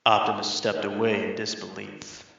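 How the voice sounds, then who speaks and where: A man's voice: fairly low pitch, monotone, normal speed, gravelly, fairly bright tone. A man reading scripted third-person narration for an audio drama. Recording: very echoey, slightly muffled.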